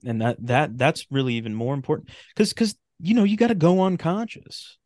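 A man's voice: fairly high pitch, big pitch swings, fairly quick, darkish tone, dry recording, studio quality. A man chatting casually as a podcast guest, blunt and emphatic, with slangy, profane turns of phrase and repeated words for stress.

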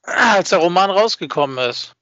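High-pitched man on a dull internet call. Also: lively intonation, normal speed, fairly bright tone, no echo. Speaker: podcast guest. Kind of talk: casual chat. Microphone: video call.

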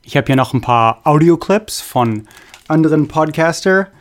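A young man hosting a podcast, reading listener messages in a relaxed, halting way with many fillers. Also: fairly high pitch. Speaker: podcast host. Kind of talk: casual podcast chat reading listener mail.

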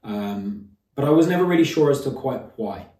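A man recording an episode intro, measured and hesitant with ums, in a roomy, echoing space some distance from the mic. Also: mid-range voice, expressive, a little mellow. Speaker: podcast host.

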